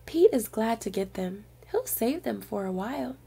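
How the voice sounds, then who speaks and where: A woman with a fairly high, very soft-edged voice reads a picture book to young children. She is expressive, as a storyteller speaking straight to her little listeners. Her tone is mellow and distinctly breathy. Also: normal speed.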